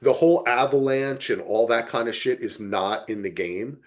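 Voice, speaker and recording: A man's voice: medium pitch, moderate pace. Man chatting emphatically on a podcast. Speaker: podcast host. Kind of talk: casual chat.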